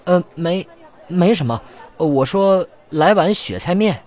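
A man's voice: high-pitched, expressive, slow, darkish tone. An actor playing an outgoing, baffled young man in his twenties in an audio drama. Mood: puzzled. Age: young adult. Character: outgoing 28-year-old man, baffled and trapped.

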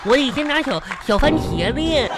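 A woman's voice: high-pitched, sing-song, normal speed. A woman playing a dim, boastful young schoolchild in a comedy radio play, goofy and hesitant, with a Northeastern Chinese accent and music behind. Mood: proud, boastful. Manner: goofy, hesitant, repeats others' words.

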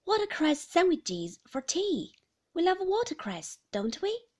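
A woman voicing a bright, cheerful middle-aged nurse who talks down to her elderly patient. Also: extremely high pitch, very animated, unhurried, pitched above the performer's own voice, exaggerated voice. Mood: chirpy. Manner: cheerful, coaxing, talking down to a patient.

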